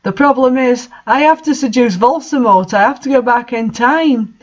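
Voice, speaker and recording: Reader putting on a higher-voiced melodramatic goth teenage girl. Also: normal speed, natural voice. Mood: troubled. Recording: dull lo-fi home recording.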